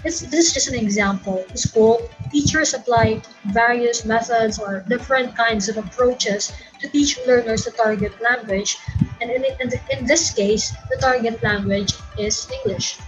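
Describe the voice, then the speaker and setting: A young Filipino woman whose first language is Tagalog delivers a recorded class lecture. She pitches her voice a little high and explains patiently, often stopping to rephrase or correct herself. Soft music plays faintly underneath. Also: moderate pace, rough recording. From Philippines. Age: young adult. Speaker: student presenter.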